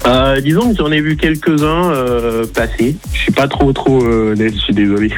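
A man's voice: mid-range voice, moderate pace, soft background music. A man from Togo calling in to a radio show, hesitant and apologetic in manner. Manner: hesitant, apologetic, soft-spoken. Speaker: caller. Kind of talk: phone-in chat.

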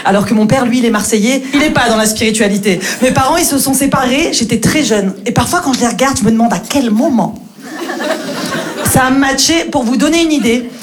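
A woman's voice: on the high side, a little fast. A young woman telling stand-up stories and mimicking her parents. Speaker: stand-up comedian. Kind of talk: stand-up comedy set. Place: comedy club stage.

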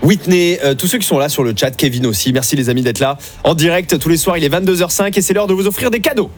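A man's voice: a little high, faint music behind. A man hosting an evening radio game show, upbeat and chatty, talking from the studio. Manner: upbeat, chatty, quick patter.

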